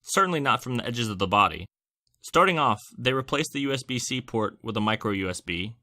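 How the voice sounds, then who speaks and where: A man's voice: mid-range voice, lively intonation, a little fast, bright, forceful tone. A man hosting a scripted product review, narrating with wry, joking asides. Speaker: tech reviewer and host.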